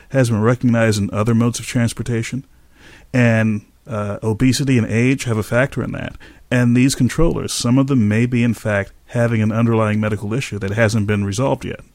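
A man speaking as an expert host in a measured, explanatory way. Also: medium pitch, darkish tone, no echo. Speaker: aviation safety expert and podcast host. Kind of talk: scripted narration and expert interview answers.

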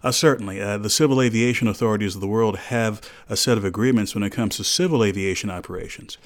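Low-pitched man, measured expert explainer. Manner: measured, precise, formal explanatory phrasing. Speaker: aviation safety expert, interview guest. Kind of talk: explanatory radio interview answers.

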